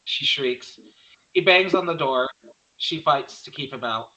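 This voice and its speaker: Bright-voiced man reading stage directions. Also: a little high, unhurried, dull audio. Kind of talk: scripted narration at a virtual table read.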